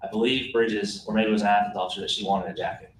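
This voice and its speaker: A man's voice: on the low side, steady tone, quick pace, slight background noise, rough recording. A man, a police officer, testifying in a courtroom, hesitant with many ums and restarts.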